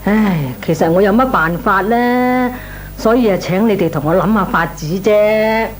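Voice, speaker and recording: An actress playing a worried, plaintive middle-aged aunt with a Cantonese accent in an old Hong Kong radio drama. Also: female voice, medium pitch, unhurried, faint music behind, natural voice. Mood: worried, pleading. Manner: plaintive, sighing, exclamatory. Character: fretful married woman, worried pleading aunt. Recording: old radio recording, faint noise.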